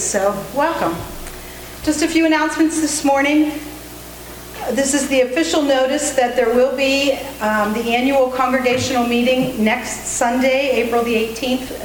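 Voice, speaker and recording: A woman making friendly, chatty announcements at a church service. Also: fairly high pitch. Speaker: church member giving announcements. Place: church sanctuary. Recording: echoey sanctuary with faint room noise.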